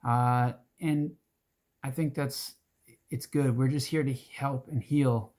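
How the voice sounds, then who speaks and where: Hoarse man giving an earnest talk. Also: mid-range voice, fairly even intonation, slow, darkish tone. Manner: earnest, rambling with restarts and fillers. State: hoarse, throat-clearing. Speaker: advocacy group organizer. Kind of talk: casual direct-to-camera talk.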